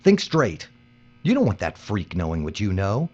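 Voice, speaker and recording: An actor playing a hard-nosed, pragmatic captive worker in an audio drama, terse and urgent. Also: male voice, on the low side, wildly swooping pitch, normal speed, a little mellow. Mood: stern. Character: hard-nosed, pragmatic male captive worker keeping his partner alive. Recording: dull, muffled recording.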